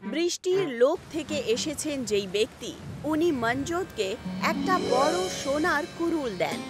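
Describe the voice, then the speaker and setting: A woman narrating a scripted children's story in an expressive storytelling manner. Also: high-pitched, measured pace. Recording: music behind the voice.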